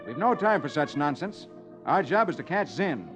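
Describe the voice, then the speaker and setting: A man's voice: a little high, big pitch swings, normal speed. A man voicing a confident, hearty space adventurer in the style of a 1950s radio serial. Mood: stern. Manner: bold, hearty. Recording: lo-fi old radio, loud music behind.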